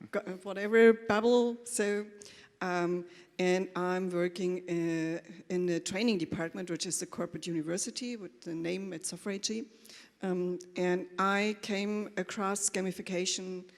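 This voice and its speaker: A woman telling her story informally and a little haltingly as a panelist, with quoted asides. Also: on the low side, steady tone. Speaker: panelist, corporate trainer. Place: conference hall, before an audience. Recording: echoey hall with long reverb.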